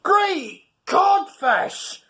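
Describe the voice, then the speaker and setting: A woman putting on a shrill, panicky fishing skipper. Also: takes it slowly, heavily acted. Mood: panicked. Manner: high, loud, excitable. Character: boastful then panicky male trawler skipper.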